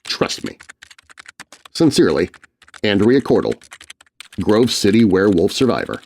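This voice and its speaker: Lively-voiced man narrating a spooky tale. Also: lowish voice, measured pace, a little hiss or hum. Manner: expressive reading of letters aloud. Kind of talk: scripted storytelling narration.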